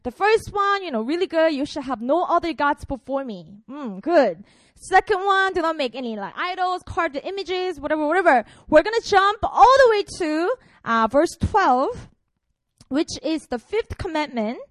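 A woman's voice: high voice, expressive, moderate pace, no room sound. A woman in her twenties from Korea, a pastor preaching a casual, playful sermon to students. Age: 20s. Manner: chatty and playful, frequent fillers.

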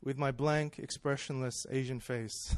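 A man's voice: medium pitch, steady tone, unhurried, smooth, no echo. A man, preaching in a chatty, self-deprecating storytelling way that often breaks into laughs. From Australia. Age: 30s. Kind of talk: personal storytelling sermon.

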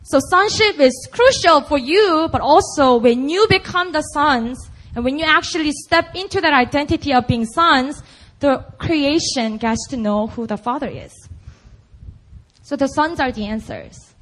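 A young woman preacher with a Korean accent and a high, bright-sounding voice. She talks to the congregation casually and with emphasis, tossing in "like" and "right?" between her points. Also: measured pace, low-quality recording. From Busan, South Korea. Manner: chatty and emphatic, full of "like" and "right?". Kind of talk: conversational sermon.

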